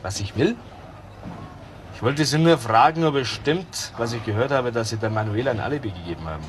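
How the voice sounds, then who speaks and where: A man's voice: mid-range voice, animated, fairly quick, faint music behind, natural voice. An actor playing a sly, needling tough guy in a crime radio drama. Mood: needling, menacing.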